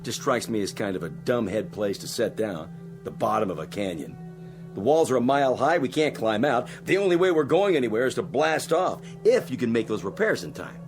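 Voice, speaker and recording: A griping spaceship co-pilot in a science fiction radio play. His mid-pitched voice swings widely as he needles and complains with slangy sarcasm, and music plays behind him. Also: very animated, fairly quick. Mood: sarcastic, griping. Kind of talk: audio drama acting.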